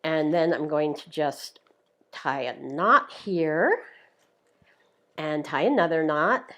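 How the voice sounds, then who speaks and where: A woman's voice: low voice, slow pace, fairly bright tone. A woman hosting a live craft tutorial, explaining each step in a chatty, upbeat way, her voice a little worn. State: slightly losing her voice. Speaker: craft demonstrator, show host.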